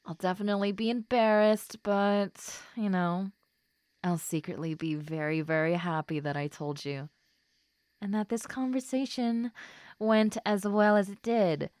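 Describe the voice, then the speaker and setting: A woman playing a tipsy, giddy, lovestruck schoolgirl in an intimate roleplay audio drama, with soft murmurs and a drawn-out, affectionate delivery. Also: medium pitch, steady tone, clean tone, dry recording, natural voice. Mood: earnest, tender.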